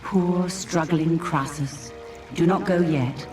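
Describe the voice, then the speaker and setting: A woman voicing an aloof, enigmatic dragon of dreams in a fantasy game cutscene, measured and solemn, with music behind. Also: deep voice, fairly even intonation. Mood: solemn, pitying.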